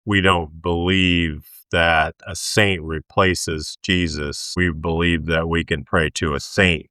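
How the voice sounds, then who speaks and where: A man co-hosting a faith podcast, calmly explaining beliefs. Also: low-pitched, slow pace, no room sound, studio quality. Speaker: podcast co-host. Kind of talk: casual faith chat.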